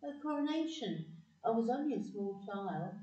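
A woman playing a frail, gentle elderly grandmother in a community audio play, halting, wistful and apologetic. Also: a little high, very animated, distant mic. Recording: muffled echoey room, away from mic.

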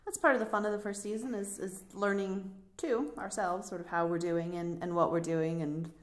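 A woman's voice: medium pitch, fairly even intonation, normal speed. A woman presenting a podcast casually as host.

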